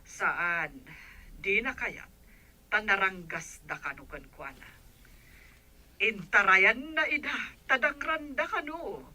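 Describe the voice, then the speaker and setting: A woman's voice: medium pitch, lively intonation, slow pace, long pauses, pushed and bright, exaggerated voice. A woman playing a caring, worried adult explaining things in an Ilocano radio drama. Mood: concerned.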